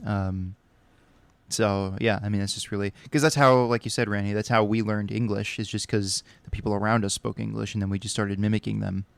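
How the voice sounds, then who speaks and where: A young man with a low, gravelly voice talks in a relaxed, rambling way on a podcast. His voice is smooth and resonant under the fry. The sound is close and dry. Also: fairly quick, no echo. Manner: relaxed and rambling, with many fillers. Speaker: podcast co-host. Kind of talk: casual chat.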